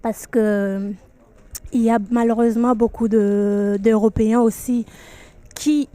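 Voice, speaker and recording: Flat-voiced woman from Burkina Faso. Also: fairly high pitch, unhurried. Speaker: pageant candidate. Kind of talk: interview answer.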